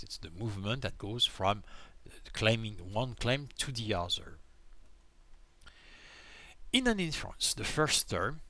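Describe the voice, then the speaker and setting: A man's voice: on the low side, sharp tone, no room sound. A man giving a recorded slide lecture, explaining step by step and checking in with "right?" as he goes. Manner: explanatory, frequent "right?" checks and self-corrections. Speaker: philosophy lecturer.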